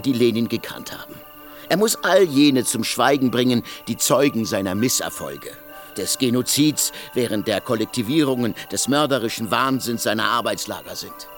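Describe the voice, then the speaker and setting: An actor in a radio drama playing a bitter, driven exiled Russian revolutionary leader, speaking with rhetorical emphasis over music. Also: male voice, medium pitch, close to an everyday voice.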